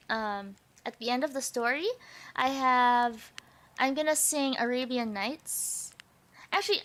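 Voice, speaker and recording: A woman streamer with a very high voice, chatting casually with her viewers in a bubbly, talkative way and laughing often. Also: bright, forceful tone. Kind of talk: casual livestream chat.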